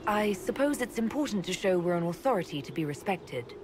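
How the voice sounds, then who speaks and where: An actress playing a composed, level-headed female leader in a fantasy video game, calm and plain-spoken. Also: medium pitch, brisk, background noise, close to an everyday voice. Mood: calm, questioning. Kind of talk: video game dialogue acting.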